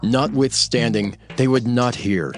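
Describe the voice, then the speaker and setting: Formal male scripture narrator over music. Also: mid-range voice. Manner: measured, formal storytelling. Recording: music bed under the narration.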